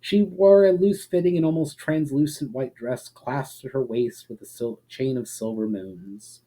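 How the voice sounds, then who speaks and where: A man giving a dramatic reading of a fantasy story, with measured, expressive narration in the manner of an audiobook. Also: mid-range voice, rough recording. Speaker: author reading own story.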